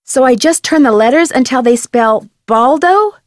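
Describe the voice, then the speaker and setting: A woman's voice: high voice, lively intonation, normal speed, no room sound, clean studio sound. An actress playing a plucky, polite young female detective in a video game. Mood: inquiring. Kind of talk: video game character dialogue.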